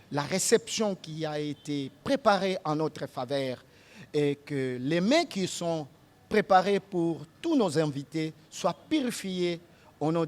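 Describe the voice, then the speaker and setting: A man with a Congolese accent leading a solemn prayer at a memorial gathering, speaking in measured phrases; his first language is Tshiluba. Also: fairly high pitch, a little mellow. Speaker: master of ceremonies leading prayer.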